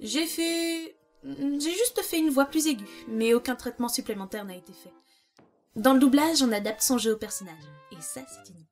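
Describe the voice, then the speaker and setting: A woman's voice: high voice, moderate pace, faint music behind. A woman who voices a part in a fan dub, sounding playfully exasperated. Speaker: fandub voice actress. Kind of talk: casual recorded answer.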